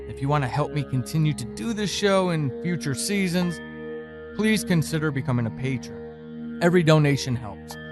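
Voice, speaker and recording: A man reading a scripted podcast introduction and announcement as the show's creator. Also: a little high.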